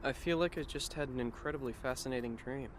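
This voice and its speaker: A man's voice: medium pitch, fast talker, noisy background, close to an everyday voice. A man playing a dry, subdued teenage schoolboy hero in an anime fan dub. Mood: groggy, puzzled. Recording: echoing hall-like reverb.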